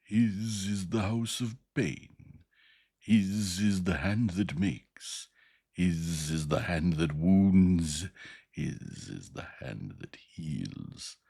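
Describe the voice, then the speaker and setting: A man's voice: low voice, big pitch swings, moderate pace, creaky, a little mellow, breathy edge, heavily acted. Man doing a thick-voiced beast-man chanting law. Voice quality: thick voice with a whistling overtone. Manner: grunting, sing-song intoning. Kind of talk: audiobook reading.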